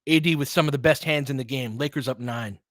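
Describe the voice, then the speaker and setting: A man's voice: mid-range voice, fast talker, no room sound, studio quality. A man calling a basketball game live in clipped phrases, with casual, fan-like asides between the plays. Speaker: basketball play-by-play commentator.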